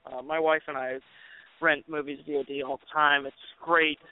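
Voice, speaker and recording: A film director from Colorado, talking casually and joking in an interview with plenty of fillers. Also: male voice, a little high, level tone, normal speed. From Colorado, USA. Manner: joking, talkative, thinks aloud with fillers. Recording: tinny phone line.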